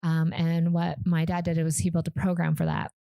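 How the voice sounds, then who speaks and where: Low-voiced chatty woman, gravelly. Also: monotone, moderate pace, dark tone, no room sound, studio quality. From Canada. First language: Vietnamese. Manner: chatty, laughs often, many fillers. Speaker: guest founder. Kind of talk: casual podcast interview.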